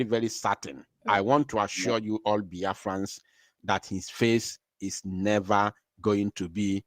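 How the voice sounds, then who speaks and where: A man's voice: fairly low pitch, no echo. Emphatic man hosting a livestream. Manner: emphatic repetition, swings from quiet to loud. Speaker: livestream host. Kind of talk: impassioned livestream talk.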